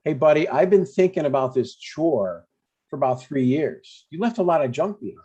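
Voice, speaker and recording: A man's voice: a little high, very animated, normal speed, mellow, breathy edge. An older man playing a blunt, stubborn but affectionate father in a comedy table read, over dull stream audio. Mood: blunt, grumbling. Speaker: amateur actor.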